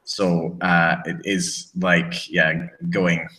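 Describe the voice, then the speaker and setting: A man's voice: sits low, flat delivery, very slow, pushed and bright. A British man co-hosting a podcast, talking casually and rambling with many restarts and fillers. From Britain. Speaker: podcast co-host. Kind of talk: casual chat. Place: living in a small town in southern Spain.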